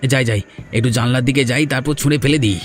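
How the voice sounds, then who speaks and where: A man voicing a scheming young son in a cartoon, sly and quick to stammer when caught. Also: mid-range voice, natural voice. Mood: panicked. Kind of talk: Bengali cartoon dubbing.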